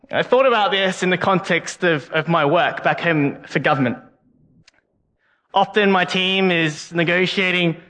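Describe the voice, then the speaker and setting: A man's voice: sits high, a bit bright. Earnest young man preaching in a reverberant hall. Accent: non-Canadian English, unplaced. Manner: earnest, frequent word repeats. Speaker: guest preacher. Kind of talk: sermon. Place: church hall.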